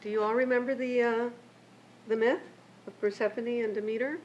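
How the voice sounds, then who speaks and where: An older woman, raised between Mexico and the United States, reads a prepared keynote speech in a measured, reflective way from her pages. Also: on the high side, steady tone. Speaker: keynote speaker and memoirist. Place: lecture event. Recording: muffled lo-fi with faint hiss.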